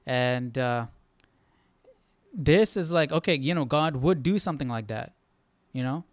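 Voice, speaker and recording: Clear-voiced man casually hosting a livestream study. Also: medium pitch, lively intonation, a little slow, no room sound. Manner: informal, thinking aloud, often checking with "right?". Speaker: host of a live Bible study stream. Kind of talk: casual live commentary on scripture.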